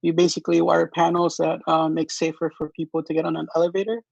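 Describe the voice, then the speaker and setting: A man in his late twenties from New York, introducing himself in a halting, plain way. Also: fairly high pitch, monotone, creaky, darkish tone, no echo. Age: late 20s. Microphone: video call. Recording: dry, muffled line.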